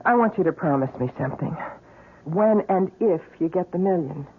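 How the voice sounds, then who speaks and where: A woman's voice: on the low side, lively intonation, moderate pace, close to an everyday voice. An actress playing an earnest, worried woman pleading as a voice of conscience in an old radio drama. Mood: anxious. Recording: tinny, noisy, clicking old radio recording.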